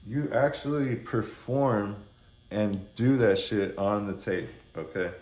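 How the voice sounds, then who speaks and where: A man's voice: lowish voice, slow pace, a little mellow. A man in his forties talking casually and bluntly to camera, laid-back and sweary, recorded indoors on a camera mic. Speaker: music vlogger. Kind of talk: casual rambling talk to camera. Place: small indoor room with neon signs. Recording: roomy echo.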